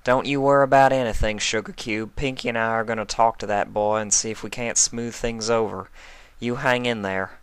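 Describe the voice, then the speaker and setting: A man's voice: fairly low pitch, fairly even intonation, moderate pace, smooth, no echo, voice pushed up, close to an everyday voice. A man voicing a folksy, reassuring female farm pony with a hearty manner in a storybook reading. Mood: hearty, comforting.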